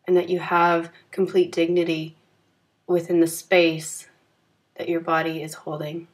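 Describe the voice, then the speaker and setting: A woman leading a guided yoga nidra meditation in a calm, gentle, soothing manner with unhurried phrasing. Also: fairly low pitch.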